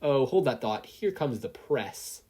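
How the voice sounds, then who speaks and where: A performer as a breezy, husky superheroine. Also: medium pitch, animated, a little slow, mellow. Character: brash, easygoing American superheroine mentor.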